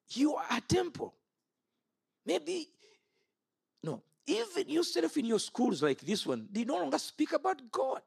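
A man's voice: sits high, vocal fry, husky. A man in his fifties from Uganda preaching an impassioned sermon. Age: 50s. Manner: impassioned, rises and falls sharply.

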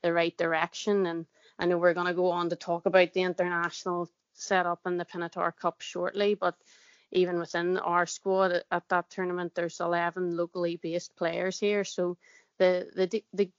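A woman with a Northern Irish accent, a football player answering interview questions in a steady, measured way. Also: fairly low pitch, dry recording, muffled recording. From Northern Ireland. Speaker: guest, football player and pundit.

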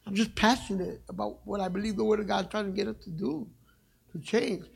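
A middle-aged man with a raspy voice, preaching a sermon in a church as a guest evangelist, passionate and conversational, joking with the congregation. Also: high voice, fairly even intonation, fairly quick. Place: church sanctuary.

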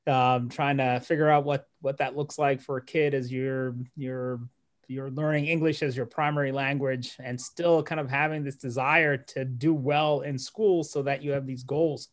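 A man in his forties from Malawi, a neurologist guest telling his life story in a relaxed interview, pausing and restarting as he thinks. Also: mid-range voice. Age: 40s. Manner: thoughtful, with frequent restarts and light laughs.

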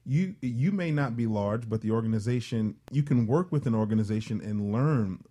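A man's voice: mid-range voice, expressive, normal speed, mellow. A man speaking as a panel guest, giving casual advice in a jokey, conversational manner.

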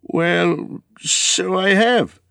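A man's voice: fairly high pitch, animated, measured pace, creaky, no room sound, clean studio sound, pitched above the performer's own voice, heavily acted. A man voicing a fussy, wistful, elderly hobbit in a storybook, with polite, rambling, exclamatory speech. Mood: brisk. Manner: polite, rambling, exclamatory old-fashioned English.

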